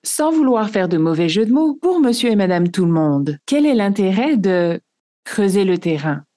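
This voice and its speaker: A woman hosting a science podcast, reading a scripted introduction in a smooth, measured way. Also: mid-range voice, sing-song, mellow. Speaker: podcast host. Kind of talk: scripted narration.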